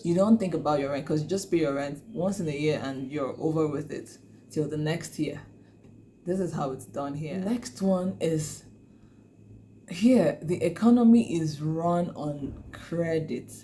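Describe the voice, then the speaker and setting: A woman's voice: on the low side, warm tone, a little hiss or hum. A Nigerian woman talking casually to her viewers, chatty and laughing often, with many fillers. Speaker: vlogger. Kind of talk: casual talk to camera.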